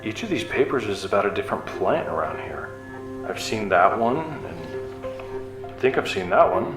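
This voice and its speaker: Audio drama explorer, male actor: lowish, excitable, curious, reckless streak, echoing space with clear music behind. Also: normal speed. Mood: curious discovery. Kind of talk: sci-fi audio drama acting. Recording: roomy, distant mic, music behind.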